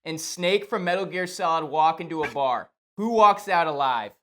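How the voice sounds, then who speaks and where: Higher-pitched man co-hosting casual banter. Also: normal speed, a bit bright. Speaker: interview co-host.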